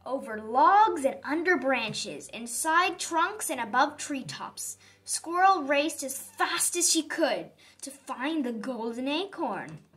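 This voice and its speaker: Female storybook narrator: high, very lively intonation, unhurried, music underneath. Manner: animated, dramatic emphasis for young listeners. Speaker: storybook reader. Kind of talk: expressive children's read-aloud narration. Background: background music.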